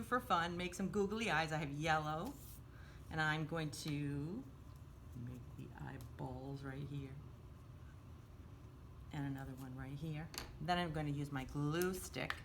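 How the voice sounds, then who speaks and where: A woman's voice: medium pitch, sharp tone, slight background noise. A woman hosting a craft storytime for young children, giving simple, encouraging step-by-step instructions and often referring to herself in the third person.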